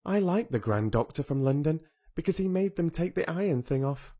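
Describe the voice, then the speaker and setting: A man's voice: a little high, animated, normal speed, dark tone, voice pushed up. A man reading aloud who voices a headstrong, contrary young girl with an earnest, blunt way of speaking.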